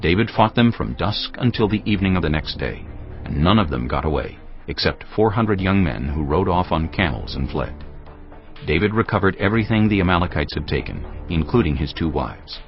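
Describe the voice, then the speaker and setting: Very deep-voiced male Bible narrator. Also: animated, fairly quick. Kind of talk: dramatized scripture narration. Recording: dull audio with music behind. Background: background music.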